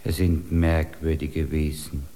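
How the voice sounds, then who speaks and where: An actor playing an old, wise bear who lives as a hermit, speaking calmly and philosophically in a classic radio play. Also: male voice, rumbling low, takes it slowly, vocal fry, noisy background, exaggerated voice. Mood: wondering. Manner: calm, questioning, philosophical.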